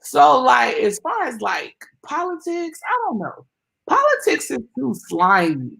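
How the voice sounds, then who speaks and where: A woman in her twenties chatting casually as an interview guest, animated with loud bursts of excitement. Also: high voice, unhurried, gravelly, husky. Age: 20s.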